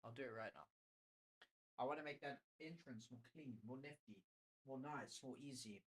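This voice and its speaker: A man's voice: mid-range voice, normal speed, breathy edge. A man live-streaming from his room, sniffly, muttering casually to himself as he sets things up, with slangy, offhand talk. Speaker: livestreamer. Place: home room.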